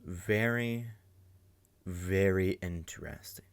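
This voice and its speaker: A man's voice: low-pitched, takes it slowly, vocal fry, smooth. Young man rambling through a reaction video. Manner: rambling, many fillers and restarts, thinking aloud. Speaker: reaction channel host. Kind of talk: casual reaction commentary.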